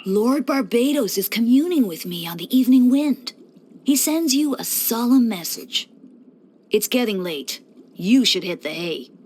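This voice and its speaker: A woman's voice: a little high, animated, measured pace, a little mellow, breathy edge. A woman voicing a playful young male church deacon in a video game, breezy and teasing with theatrical mock solemnity. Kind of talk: scripted video game character voice lines.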